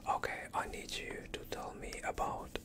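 A young man in a whispered ASMR doctor roleplay, speaking calmly and soothingly in a breathy, unvoiced whisper close to the microphone. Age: young adult. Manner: calm, soothing, unhurried questioning. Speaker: ASMR performer playing a doctor.

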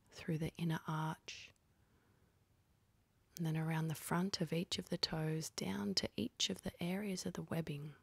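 A woman leading a guided meditation in a soft, husky, breathy voice, speaking calmly and gently with unhurried pauses. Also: low voice, no echo. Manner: calm, gentle, unhurried instructing with long pauses.

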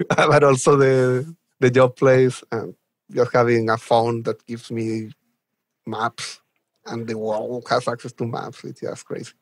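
A man with a Chilean Spanish accent talks casually as an interview guest. His voice sits at a medium pitch and his manner is enthusiastic. The sound is dry, with little room to it. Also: level tone, slow, dry recording. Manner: enthusiastic, chuckles while talking. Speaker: guest, software developer advocate. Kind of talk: casual podcast interview chat.

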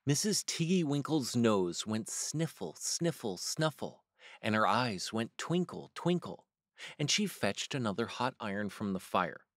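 A man reading a children's picture book aloud with expressive, varied storybook delivery. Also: mid-range voice, measured pace, no echo, studio quality.

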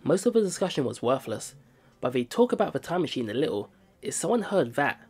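A man's voice: a little high, very animated, a little fast, a little mellow, breathy edge. A man reading a game's story text aloud for a playthrough, with small stumbles and restarts. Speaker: let's play narrator. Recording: game music playing behind.

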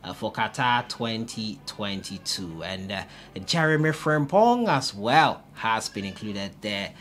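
A young man reads football news straight to camera from an indoor room. His voice sits fairly low, and he keeps a steady reporting pace, filling pauses with "uh" as he goes. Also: a little hiss or hum. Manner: steady reporting with frequent "uh" fillers. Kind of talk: solo news vlog to camera. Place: indoor room before a stone-pattern wall.

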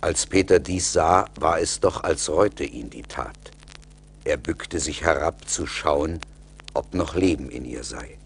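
A man's voice: rumbling low, measured pace, gravelly, a little hiss or hum. A man narrating a fairy tale as a scripted storyteller in a radio play.

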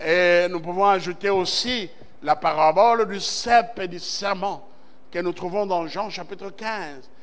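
High-voiced preaching pastor from Central Congo. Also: male voice, a bit bright. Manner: preaching with emphatic swells and call-and-response amens. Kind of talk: sermon. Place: church service.

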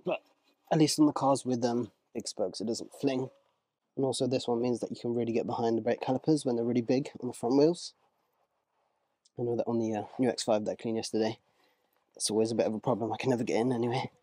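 Relaxed man talking through car washing. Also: medium pitch, quick pace, no echo. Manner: relaxed, rambling, self-correcting explanations. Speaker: car rental host. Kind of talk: casual vlog narration while working.

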